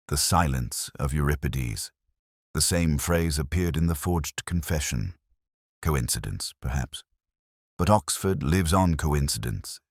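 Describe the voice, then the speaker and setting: A man reading scripted first-person detective fiction in a measured, literary, brooding manner. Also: extremely low pitch, no room sound, clean studio sound. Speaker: narrator.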